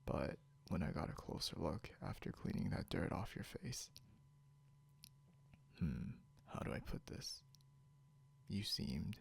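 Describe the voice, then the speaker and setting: A man playing a grumpy, teasing, possessive young beast-eared hunter in an intimate ASMR roleplay, drawling drowsily and half whispering with a breathy, gravelly voice. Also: low voice, exaggerated voice. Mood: drowsy, aloof.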